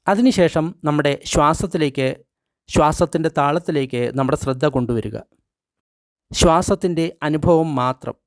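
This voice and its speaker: Male meditation guide, somewhat high-pitched, unhurried and deliberate phrasing, fairly dry close sound. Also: darkish tone, dry recording. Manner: slow, deliberate instruction in short phrases with pauses. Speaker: meditation guide reading a translated book.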